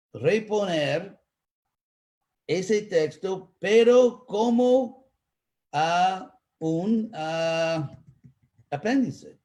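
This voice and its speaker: A man with an American accent, a native English speaker, teaching in a live online talk and answering questions, pausing to search for words. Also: fairly high pitch, a little mellow. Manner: slow, searching for Spanish words with pauses. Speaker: spiritual teacher.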